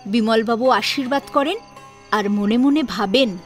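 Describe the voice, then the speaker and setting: A woman narrating a scripted domestic drama as a storyteller, with an expressive, dramatic delivery. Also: fairly high pitch, a little slow. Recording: music behind the voice.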